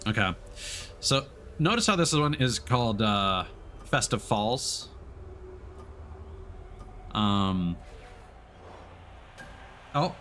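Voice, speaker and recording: A man's voice: fairly low pitch, lively intonation, extremely slow, pauses often, a bit bright, slight background noise. A man giving casual livestream gaming commentary, chatting as a streamer and swearing casually. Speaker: game streamer. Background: game music and racing car sounds.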